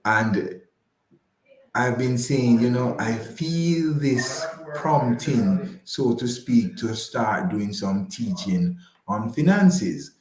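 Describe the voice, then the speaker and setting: A man speaking as an interview guest, measured and courteous, with slightly formal wording. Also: mid-range voice, lively intonation, a little mellow, echoey room, rough recording. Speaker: interview guest, financial advisor. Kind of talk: conversational radio interview.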